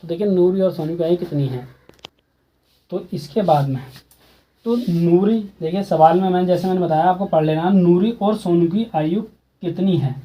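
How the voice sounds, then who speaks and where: Mellow-voiced male Hindi math tutor. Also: high voice, moderate pace, rough recording. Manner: repetitive, keeps asking students questions. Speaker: maths teacher. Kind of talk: explaining a textbook math exercise.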